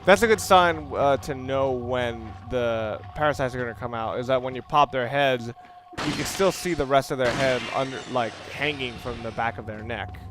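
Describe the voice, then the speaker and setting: A man's voice: mid-range voice, normal speed, background noise. A man giving casual, wry and rambling commentary as he talks through a video game walkthrough. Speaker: let's play commentator. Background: game music and sound.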